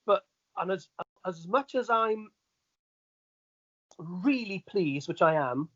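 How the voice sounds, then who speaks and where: A middle-aged Welsh man with a fairly high voice speaks as an entertainer being interviewed. He chats in an easy anecdotal way with little asides to the listener. The sound is slightly dull, though fairly dry. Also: animated, takes it slowly, a bit bright, no echo. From Wales. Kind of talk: casual anecdotal chat.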